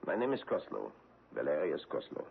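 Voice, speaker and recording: An actor playing a courteous, probing foreign investigator on the trail of a war criminal, in a 1970s radio drama. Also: male voice, rumbling low, huge pitch swings, brisk, creaky, slightly rough, full character voice. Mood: suave, probing. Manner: courteous, probing, gently menacing. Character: suave middle-aged foreign male war-crimes investigator. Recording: tinny old radio broadcast.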